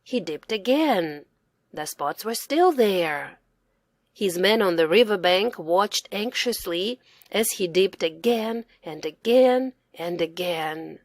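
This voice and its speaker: A woman reading a children's story aloud as a narrator, in a deliberate, expressive way. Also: fairly low pitch, dry recording, studio quality. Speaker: storybook reader.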